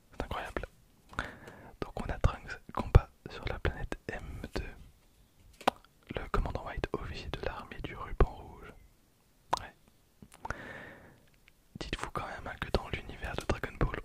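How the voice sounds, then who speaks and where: A person in their twenties whispering casual ASMR commentary close to the microphone, with a breathy, unvoiced delivery. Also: very high voice. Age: 20s. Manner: hushed, casual asides. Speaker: ASMR video creator. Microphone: close handheld-recorder microphone.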